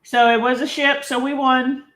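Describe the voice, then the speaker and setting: A woman's voice: high voice, level tone, normal speed, a bit bright. A woman vlogger chatting casually on a live stream, rambling and laughing often, a little congested. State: slightly congested. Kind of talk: casual live-stream chat while building a toy.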